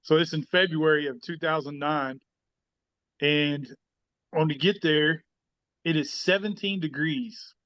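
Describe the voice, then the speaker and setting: A man's voice: a little high, a little slow, long pauses. A man co-hosting a podcast, telling a casual story in a chatty, joking way. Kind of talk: casual anecdote. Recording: dull lo-fi audio.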